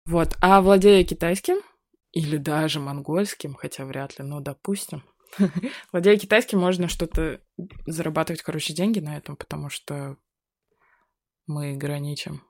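Young woman from Buryatia, low voice, dry close sound, ironic casual talker who laughs a lot. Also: normal speed, darkish tone, no room sound. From Buryatia, Russia. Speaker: guest. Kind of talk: casual chat.

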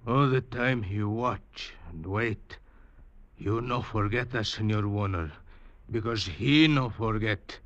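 Superstitious Venezuelan guide, Spanish accent, slow ominous broken English, tense bright tone, muffled vintage radio. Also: male voice, on the low side, no echo. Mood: fearful warning. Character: fearful, superstitious Venezuelan male snake catcher and hired guide. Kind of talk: old-time radio drama acting.

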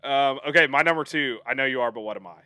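Bright young man, dry close sound. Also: mid-range voice, quick pace, clear, resonant tone, no echo. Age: 20s. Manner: playful, laughs through his talk. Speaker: podcast co-host. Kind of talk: casual chat.

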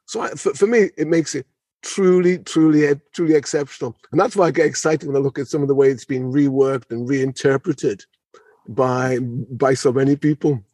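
A man's voice: mid-range voice, steady tone, moderate pace, dark tone, no echo. A man discussing his research with enthusiasm, often stumbling and repeating words as he goes. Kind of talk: conversational expert discussion.

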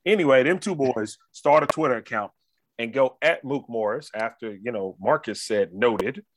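An American man hosting a casual sports talk show, telling stories in an animated, slangy way with frequent check-ins to the listener. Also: medium pitch, normal speed, noisy background. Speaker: sports talk podcast host.

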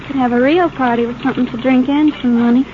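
Muffled noisy vintage radio, actress as high-voiced teenage bad girl, drawl, goading, cold, thrill-hungry. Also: fairly even intonation, fast talker, close to an everyday voice. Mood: taunting. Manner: slangy, goading, needling. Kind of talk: 1950s radio drama acting.

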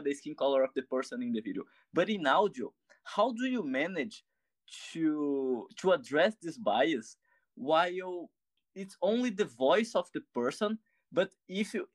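A man's voice: on the high side, no room sound. A man with a Brazilian accent hosting an interview, asking long questions while searching for words. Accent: Brazilian Portuguese. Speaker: interviewer, host.

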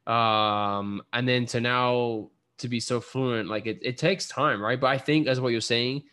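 A man hosting a casual interview, talking in a relaxed, chatty way. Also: medium pitch, measured pace. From from abroad, living in the US. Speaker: podcast host.

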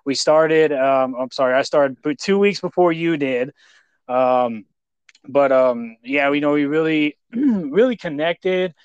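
American man, podcast host, smooth and clear, dry close sound, effusive, rambling, casual. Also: a little high, measured pace, no echo, muffled recording. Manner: effusive praise, rambling, repeats "you know". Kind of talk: casual interview chat.

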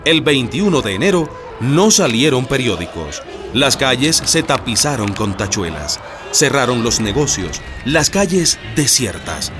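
A man's voice: medium pitch, very animated, measured pace. A man narrating a historical radio drama from a script. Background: music.

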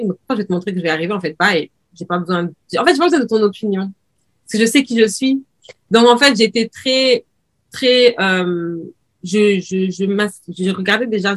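Animated young woman podcast host. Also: medium pitch. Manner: animated, emphatic, repeats words. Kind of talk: casual chat.

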